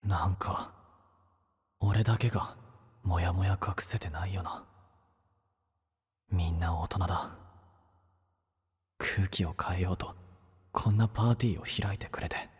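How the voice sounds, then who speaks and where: A man's voice: deep voice, steady tone, unhurried, long pauses, exaggerated voice. An actor playing a proud, awkward but kindhearted young man in an anime-style voice drama, blunt and easily flustered. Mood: brooding. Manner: blunt, rough casual speech, easily flustered.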